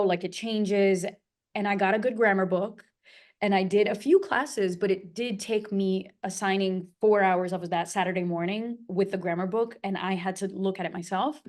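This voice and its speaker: A woman co-hosting a casual talk about learning languages, animated and thinking aloud with quick fillers. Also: medium pitch. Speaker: polyglot co-host. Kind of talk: casual conversation.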